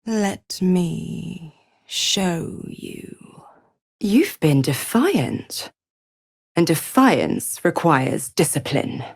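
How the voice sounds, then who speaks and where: A woman's voice: mid-range voice, sing-song, slow, no echo, studio quality. An actress voicing a seductive, menacing female devil in a video game, theatrical and condescending, savoring each word as she taunts. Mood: sultry, menacing. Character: smug, seductive, menacing female devil, cruel mistress of pacts.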